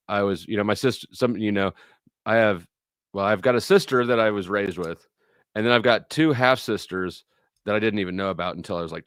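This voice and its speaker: A man's voice: low-pitched, a bit bright, clean tone, dry recording, clean studio sound. A middle-aged man hosting a livestream, chatting casually with viewers in a rambling, blunt way with some swearing. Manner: rambling, blunt, profane, anecdotal. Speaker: livestream host.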